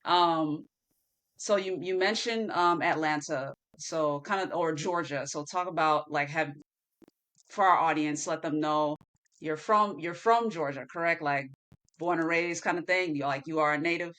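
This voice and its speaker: A woman's voice: low-pitched, unhurried, fairly bright tone. A woman hosting a podcast, chatting casually and affirming her guest with loose, rambling questions. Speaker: podcast host. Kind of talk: casual interview conversation.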